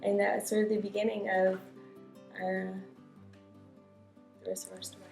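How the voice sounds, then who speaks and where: A woman's voice: medium pitch, flat delivery, moderate pace, vocal fry. American-born woman talking casually about her life, roomy sound, faint guitar music. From United States. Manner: conversational, informal storytelling. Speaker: interviewee. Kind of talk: personal reflection in an interview. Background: soft guitar music.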